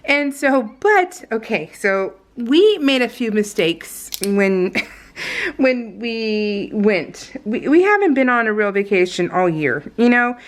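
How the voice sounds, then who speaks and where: A woman's voice: a little high, very animated, takes it slowly. A woman with an American accent chatting casually and unscripted as a vlogger, in a rambling, giggly, storytelling way. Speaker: vlogger hosting a scrapbooking process video.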